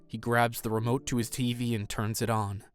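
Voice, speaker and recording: A man's voice: mid-range voice, flat delivery, normal speed, clear, resonant tone, natural voice. A man narrating an audio drama, reading scripted scene action in the present tense.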